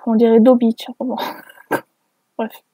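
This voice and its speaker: A woman reads aloud in a high, husky voice and keeps interrupting herself with exasperated commentary. Her delivery is sarcastic and unhurried, with a soft, mellow edge. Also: flat delivery, dry recording. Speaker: video host reading fan fiction aloud. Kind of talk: mocking read-aloud with spoken asides.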